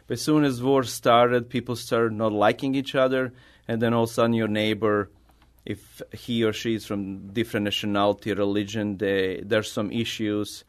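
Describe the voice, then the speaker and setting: A middle-aged man with a Bosnian accent and a fairly low voice. He is an artist answering interview questions in a conversational way. His English is his second language after Bosnian, so his phrasing is a little halting as he tells personal stories. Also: flat delivery. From Bosnia, former Yugoslavia.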